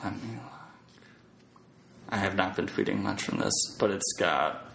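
Male podcast guest, low voice, halting and jokey casual delivery, slight background noise. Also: animated, vocal fry, a bit bright. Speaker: podcast guest, web developer. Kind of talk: casual interview chat. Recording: roomy echo with background noise.

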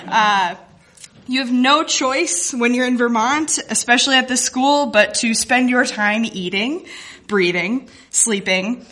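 A woman tells a humorous story to a live audience. Her voice is fairly high with a bright, crisp edge. Her delivery is wry, facetious and self-deprecating. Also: slow pace. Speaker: guest cartoonist telling a story. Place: live event in a room with an audience.

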